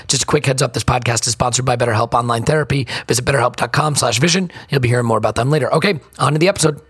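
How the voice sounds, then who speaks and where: Male podcast host, American, hoarse croaky voice, dry close mic, self-deprecating chat. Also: mid-range voice, dry recording. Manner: self-deprecating, rambling with restarts. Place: hotel room.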